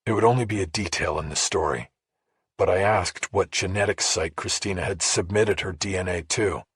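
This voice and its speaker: Casting: male narrator for a crime thriller, very raspy, lowish register, measured, close dry sound. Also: fairly bright tone, no echo. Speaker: audiobook narrator. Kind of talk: scripted first-person crime thriller narration.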